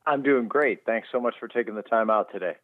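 A man's voice: medium pitch, a little fast, no echo. A man from Chicago, a touring musician, chats as a guest in an easygoing, upbeat manner. He comes through a tinny phone line with a narrow band. The sound is dry, with no room around it. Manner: relaxed and upbeat, many fillers. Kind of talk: casual phone interview. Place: on a phone call.

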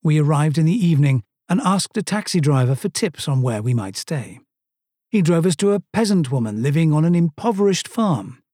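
A man's voice: fairly high pitch, big pitch swings, moderate pace, mellow, dry recording. A man from Sweden narrating a first-person travel story with dry, self-mocking humor.

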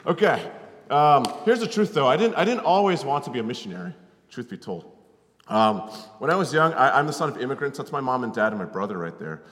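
A man's voice: mid-range voice, sing-song, fairly quick, a bit bright. A man in his thirties with an American accent gives an informal, conversational sermon as a missionary, often checking in with short tag questions, in a hall with long reverb. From Connecticut, United States. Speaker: missionary preacher, guest speaker. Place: large hall, speaking to an audience.

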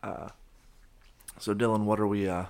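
Hoarse, low, gravelly young man. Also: level tone, moderate pace, darkish tone. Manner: goofy, rambling, restarts sentences. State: hoarse from shouting. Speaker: podcast host. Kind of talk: casual chat.

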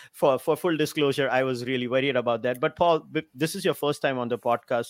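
A man hosts a casual podcast interview in a loose, chatty way, often restarting his sentences. His voice sits at an everyday middle pitch. It sounds dry and close, with no room around it. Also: no room sound. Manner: chatty with frequent restarts and small laughs. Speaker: podcast host.

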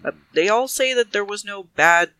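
High-pitched, very bright-voiced male player. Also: expressive, moderate pace, clean tone, dry recording. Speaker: player in a tabletop role-playing game. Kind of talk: casual improvised in-character dialogue.

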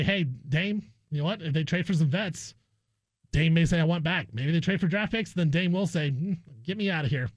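A man's voice: on the high side, no room sound. A man hosting a sports talk radio show, giving opinionated commentary in a conversational way. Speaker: sports radio guest host. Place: radio studio.

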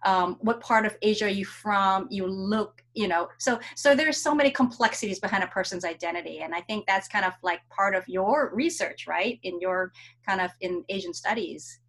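A woman's voice: medium pitch, normal speed, a bit bright. Chatty woman hosting a video-call interview. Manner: chatty, many fillers and restarts. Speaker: host.

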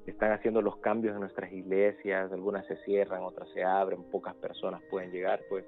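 Low-voiced man, muffled recording. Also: completely flat delivery, vocal fry, faint music behind. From El Salvador. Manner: halting, repeats words while searching for phrasing. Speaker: interview guest, youth ministries pastor. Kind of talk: casual spoken testimony.

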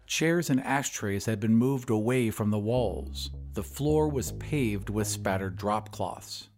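A man's voice: fairly low pitch, takes it slowly, darkish tone. A man hosting a storytelling podcast, reading scripted narration. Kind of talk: scripted audiobook-style narration.

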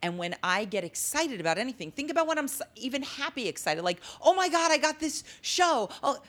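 A woman with a low, deep-timbred voice, telling stories in a casual interview and acting out the dialogue. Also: a little fast. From Las Vegas. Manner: animated, acts out quoted dialogue. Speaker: comedian guest.

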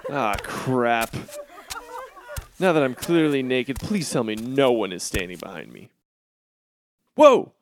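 A man's voice: medium pitch, big pitch swings, slow, long pauses, a little hiss or hum. A man playing a pampered, crude teenage rich boy in a comedic audio drama, with a swaggering, melodramatic delivery. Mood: smug, theatrical.

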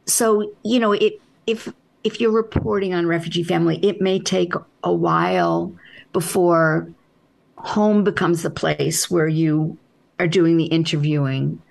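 A woman author with a soft voice, answering interview questions in an unhurried, reflective way with halting restarts. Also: fairly low pitch, steady tone, vocal fry.

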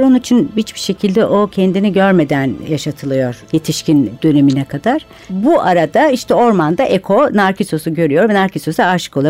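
A woman's voice: medium pitch, dark tone, no echo. A woman author as a radio guest, speaking thoughtfully and retelling old myths at length. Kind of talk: conversational interview. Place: radio studio.

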